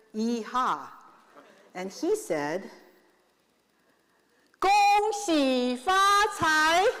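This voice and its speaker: Slow playful woman in a reverberant hall. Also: sits high, a bit bright. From Taiwan. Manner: playful, leads the audience in call and response. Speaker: attorney and event host. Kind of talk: stage speech at a client event. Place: large event hall. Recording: long reverb of a large hall.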